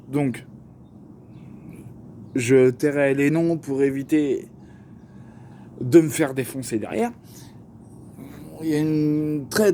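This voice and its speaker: A man's voice: mid-range voice, mellow, a little hiss or hum. A young man with a Parisian accent telling a personal story to camera, slangy and joking, with lots of fillers. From Paris region. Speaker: vlogger.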